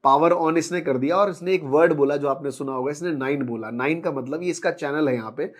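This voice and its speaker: Higher-pitched Indian man pitching a product. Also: fairly quick. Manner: explanatory, persuasive, mixes in English words. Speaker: product presenter and seller. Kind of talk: informal product demonstration and sales pitch.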